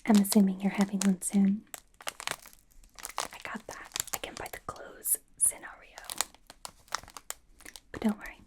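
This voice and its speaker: A woman in an ASMR roleplay playing a doting, bossy young pop idol turned fashion stylist, in a soft breathy whisper with a playful, halting delivery. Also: medium pitch, little pitch movement, close to an everyday voice. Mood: doting, reassuring. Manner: playful, gushing, gently insistent, halting. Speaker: ASMR performer. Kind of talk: ASMR roleplay, personal attention.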